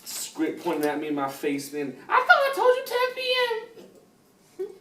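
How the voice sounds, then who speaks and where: A man's voice: very high voice, huge pitch swings, measured pace, full character voice. A man playing a defensive sixteen-year-old son in family therapy, in an amateur role-play. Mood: exasperated, argumentative. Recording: roomy, distant mic with faint noise.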